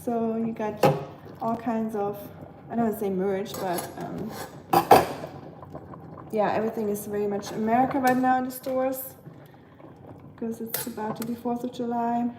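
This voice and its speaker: A woman's voice: on the high side, steady tone, normal speed, long pauses, heavy background noise. Chatty German woman in an echoey kitchen. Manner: chatty and friendly, lots of fillers, talks while working. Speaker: vlogger livestreaming. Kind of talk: casual chat reading live comments while cooking. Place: home kitchen, clattering pots and pans behind. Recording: echoey kitchen with clattering pots.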